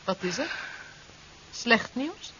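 A woman's voice: a little high, big pitch swings, slow, fairly bright tone, heavily acted. A woman acting a subdued survivor in a 1970s radio drama, telling things plainly and a little hesitantly. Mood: concerned. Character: Vel, subdued female survivor, companion to hero. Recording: muffled noisy 1970s radio recording.

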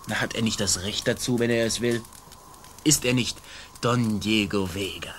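An actor playing a boastful, swaggering Spanish colonial army sergeant in a theatrical, declamatory radio play style. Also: male voice, fairly low pitch, steady tone, moderate pace, a bit bright, a little hiss or hum, close to an everyday voice. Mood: admiring, declamatory.

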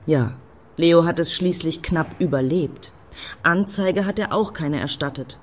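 An actress playing a breezy, sardonic club activist in a radio play, offhand and dryly mocking. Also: female voice, deep voice, a little slow. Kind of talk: German radio play acting.

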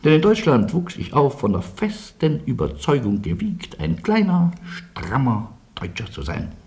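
An actor playing a courteous, ironic Polish-born refugee and sunny survivor in a German radio drama. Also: male voice, medium pitch, huge pitch swings, unhurried, darkish tone. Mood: ironic, wistful.

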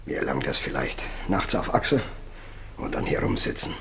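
An actor playing a chatty, grumbling milk truck driver in a radio drama, speaking colloquially. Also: male voice, low-pitched, sing-song, moderate pace, gravelly, a bit bright, slight background noise, full character voice. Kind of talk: naturalistic radio drama acting.

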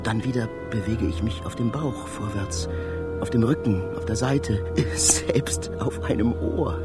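An actor playing an awestruck traveller in space, a dreamy and proud poet-philosopher, declaiming in a literary German radio drama. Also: male voice, mid-range voice, unhurried, loud music behind the voice, lightly acted. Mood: philosophical wonder. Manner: declamatory, rapturous, theatrical.

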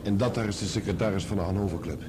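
An actor in a radio play playing a courtroom onlooker, talking informally and conversationally. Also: male voice, lowish voice, racing, natural voice. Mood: confiding. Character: male courtroom onlooker quietly pointing out people. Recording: clearly noisy, ambient sound behind.

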